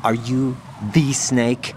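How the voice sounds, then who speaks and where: An actor playing an earnest, frustrated young soldier in a video game, questioning and emotional. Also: male voice, mid-range voice, flat delivery, slow pace, a little mellow, slight background noise, close to an everyday voice. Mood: uneasy, curious. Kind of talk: video game cutscene acting.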